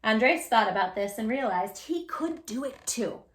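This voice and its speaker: Animated, bright-voiced woman reading to children. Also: a little high, measured pace. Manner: encouraging, addresses the child listener directly with questions. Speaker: host reading picture books aloud to children. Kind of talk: animated children's read-aloud with chatty asides to young listeners.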